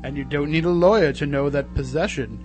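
An actor playing a sardonic, gleefully macabre narrator and announcer in old-time radio horror style, theatrical and wry. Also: male voice, on the high side, fairly quick, darkish tone, soft background music, close to an everyday voice.

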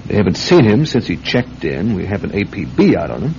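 Deep, gravelly, droll cop. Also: male voice, huge pitch swings, fairly quick, dark tone, exaggerated voice. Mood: deadpan. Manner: dry, droll. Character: Woody, dry, teasing off-duty city policeman sidekick. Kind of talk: radio mystery drama acting. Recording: dull, faintly noisy radio recording.

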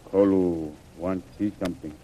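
A man's voice: low voice, fairly even intonation, moderate pace, dark tone, a little hiss or hum, natural voice. A man playing a deferential, secretive native servant and guide in clipped broken English, in an old radio adventure serial. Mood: guarded, deferential. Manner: clipped broken English, refers to himself in third person. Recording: muffled old radio recording.

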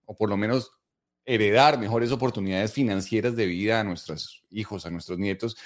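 Low-voiced middle-aged man, Bogotá Colombian accent. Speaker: interview guest, investing educator. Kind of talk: conversational interview answers.

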